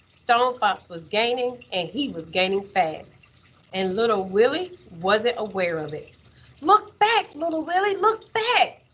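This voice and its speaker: A woman with a husky voice and a medium pitch reads a storybook aloud. She narrates slowly and expressively, like a teacher, leaving dramatic pauses between phrases. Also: a bit bright.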